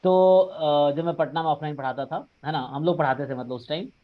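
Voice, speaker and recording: A man with a somewhat high voice leads the interview over a video call, explaining things in an animated, talkative way. The audio sounds dull and lo-fi. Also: a little fast. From Bihar. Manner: animated, explains in a mix of Hindi and English. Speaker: interviewer and coaching teacher. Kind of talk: casual conversational interview.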